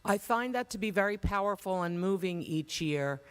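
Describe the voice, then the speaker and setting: A man with a smooth, resonant voice opens an evening memorial program with a measured, courteous welcome. His words ring out with a long reverb from a large hall. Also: sits high, lively intonation, a bit bright. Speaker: organization director. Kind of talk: formal welcome speech.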